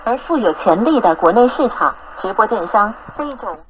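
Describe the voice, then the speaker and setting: A woman's voice: mid-range voice, lively intonation, brisk, dull audio, a little hiss or hum. A woman talking on a broadcast, heard through a transistor radio speaker on shortwave. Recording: shortwave playback with background babble.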